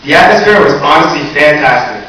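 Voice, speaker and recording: A teenage young man giving a graduation speech, earnest with light humor. His voice sits a little high for a man. It is recorded from far back in a very echoey hall, with a faint hiss. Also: lively intonation, a little fast, pushed and bright. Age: teens. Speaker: valedictorian. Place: large echoey hall or gym. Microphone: recorded from far off in the audience.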